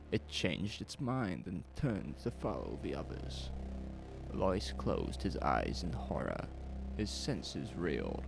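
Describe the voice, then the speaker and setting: A man narrating a horror audio drama in a suspenseful, deliberate way, with dramatic pauses. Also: rumbling low, creaky. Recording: music under the voice. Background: music.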